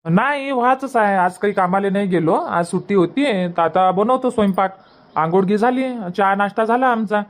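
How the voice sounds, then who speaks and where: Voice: medium pitch, moderate pace, close to an everyday voice. An actor voicing a put-upon young village son in a cartoon comedy, with a Varhadi Marathi accent and a whining, self-justifying manner. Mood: chatty. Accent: Varhadi Marathi from Vidarbha.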